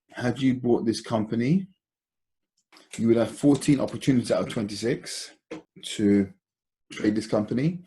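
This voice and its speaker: A man presenting an informal stock analysis as host of an investment call, explaining in a halting, deliberate, conversational way. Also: mid-range voice, mellow, rough recording.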